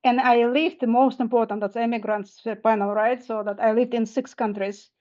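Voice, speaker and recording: Woman, halting, muffled line. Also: fairly high pitch, steady tone, a little mellow. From Kazakhstan. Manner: halting, second-language phrasing. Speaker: panelist, finance mentor. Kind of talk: online panel talk. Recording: dull lo-fi video-call audio.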